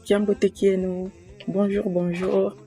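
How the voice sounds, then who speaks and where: Lady explaining things real slow and earnest, mellow voice, faint music behind. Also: medium pitch, flat delivery. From Congo-Brazzaville. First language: a Congolese language. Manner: slow, measured and earnest, with proverbs. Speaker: association president giving advice. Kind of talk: talking to camera, explaining.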